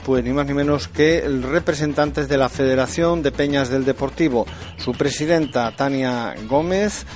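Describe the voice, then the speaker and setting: A man hosting a radio sports chat, teasing his guests in quick back-and-forth. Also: medium pitch, a little mellow. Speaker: radio host.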